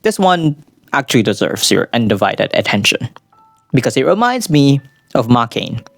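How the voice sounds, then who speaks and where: A man's voice: mid-range voice, big pitch swings, normal speed, creaky, dry recording. A man giving casual, opinionated video essay commentary, informal and sweary, with dramatic asides. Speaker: anime reviewer. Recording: background music under voice. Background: music.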